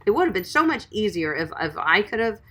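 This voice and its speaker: A woman's voice: medium pitch, sing-song, brisk, fairly bright tone, soft background music. A woman dog groomer chatting on a livestream, reading viewer comments and giving friendly, encouraging advice. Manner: chatty, encouraging, calls viewers sweetie and guys.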